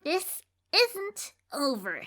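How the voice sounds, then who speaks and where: A woman's voice: high voice, huge pitch swings, drawn-out words, fairly bright tone, heavily acted. A woman voicing a spoiled filly school bully in a cartoon story, whiny and haughty. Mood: menacing. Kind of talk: exaggerated cartoon character acting.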